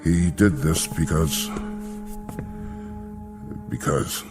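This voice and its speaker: Solemn mission official, low register, measured, grave, formal, vintage television acting, prominent music behind. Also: male voice, exaggerated voice. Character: solemn male space-program official, compassionate and formal. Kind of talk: mid-century television drama acting. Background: dramatic music.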